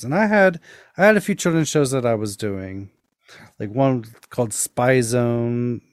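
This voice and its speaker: A man's voice: medium pitch, dry recording. A man hosting a podcast, telling stories and anecdotes in a casual way on a close microphone. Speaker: podcast host and storyteller. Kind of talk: spooky storytelling and casual anecdotes.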